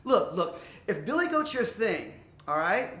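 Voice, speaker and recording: A woman's voice: medium pitch, very animated, a little slow, fairly bright tone, roomy sound. A woman voicing a cocky, scheming middle-brother billy goat in a storybook tale, slangy and persuasive, repeating words. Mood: wheedling. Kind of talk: animated comic folktale storytelling.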